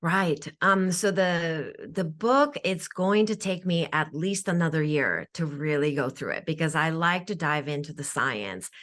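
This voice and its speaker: A woman with a Japanese accent and a deep, low voice speaks as an interview guest. Japanese is her first language, and she explains things earnestly and at length, often repeating a word when she restarts a phrase. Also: unhurried, few pauses, fairly bright tone. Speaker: guest, functional nutritional therapist.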